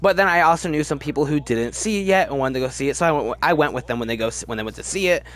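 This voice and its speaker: A man hosting a movie ranking video with casual, opinionated commentary in an animated, rambling, halting way that often repeats words. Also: a little high. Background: background music.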